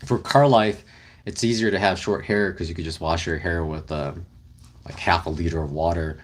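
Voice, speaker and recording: Brief: young male streamer, low-ish voice, relaxed rambling chat with fillers. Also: moderate pace. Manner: rambling, easygoing, many fillers. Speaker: livestream host. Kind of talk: casual livestream chat reading comments. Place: car camping, indoors with partner.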